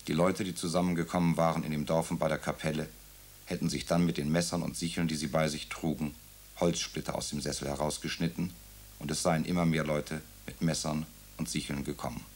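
Very deep gravelly male narrator. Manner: calm, measured storytelling. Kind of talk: radio drama narration.

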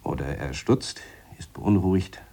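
An actor playing a deliberate, wry scientist in a radio play, explaining his theories in a measured, dry manner. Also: sits low, dark tone. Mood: dry, pondering. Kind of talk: radio play dialogue in a reflective, lecturing tone.

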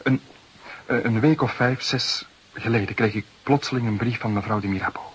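An actor playing a desperate, defensive young murder suspect of about twenty, nervy and halting under interrogation in a crime drama. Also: male voice, medium pitch, natural voice, low-quality recording. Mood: subdued, guarded. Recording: clearly hissy background noise.

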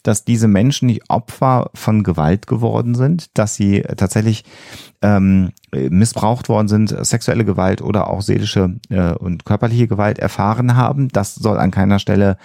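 Low, creaky-voiced man explaining earnestly. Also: moderate pace, warm tone, dry recording, clean studio sound. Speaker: podcast co-host. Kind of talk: explanatory podcast discussion.

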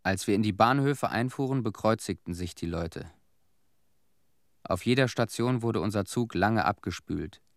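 Radio play: somber young male survivor acted by a man, lowish pitch, dry sound, plain measured recollection. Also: no room sound, lightly acted. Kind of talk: radio drama monologue.

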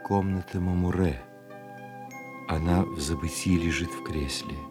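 A man's voice: low-pitched, steady tone, unhurried, over music. A man narrating the stage directions of a radio play in a scripted, theatrical reading. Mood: solemn.

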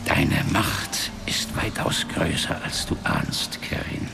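Casting: ancient wizard father, grave fantasy radio-play figure, very low breathy voice, unhurried, over prominent music. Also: sing-song, heavily acted. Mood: solemn, urging. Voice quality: gravelly, breathy half-whispering voice. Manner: grave, measured storytelling. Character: ancient solemn father, powerful wizard of a magical race. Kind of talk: fantasy radio drama acting. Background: background music.

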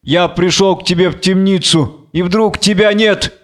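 Мужчина читает за властного филистимского вельможу, гладкий голос. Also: male voice, high-pitched, steady tone, normal speed, fairly bright tone, pitched above the performer's own voice. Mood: imperious. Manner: imperious, falsely solicitous. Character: commanding, scheming Philistine lord, smooth and contemptuous. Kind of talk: dramatic reading of a biblical tragedy.

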